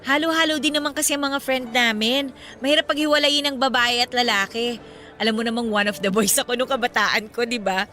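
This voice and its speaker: An actress playing a chatty, concerned young best friend in a radio drama, speaking expressively and with sympathy. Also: female voice, on the high side, a little fast, sharp tone, soft background music. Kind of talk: Filipino radio drama dialogue.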